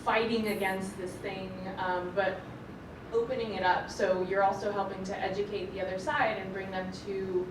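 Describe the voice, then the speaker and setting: A woman's voice: medium pitch, level tone, normal speed. Woman, hesitant, lots of fillers, distant mic, echoey noisy room. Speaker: audience member asking a question. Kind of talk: rambling audience question. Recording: distant, roomy, noisy event audio.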